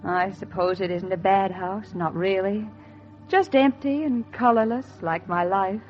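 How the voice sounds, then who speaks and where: An actress playing a wistful, embittered housewife in 1940s radio style, intense and melodramatic, repeating phrases for emphasis. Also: female voice, medium pitch, a little slow, lightly acted. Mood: bitter, resigned. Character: wistful, embittered housewife yearning for one luxury. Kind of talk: 1940s radio acting, dramatic monologue and dialogue. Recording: muffled 1940s radio recording with music.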